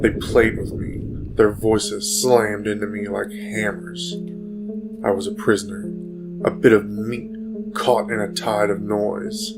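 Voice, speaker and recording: An actor playing a haunted, feverish man changed by otherworldly torment, telling his tale with halting, brooding intensity in a gothic audio drama. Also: fairly low pitch, flat delivery. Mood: feverish, entranced. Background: guitar music.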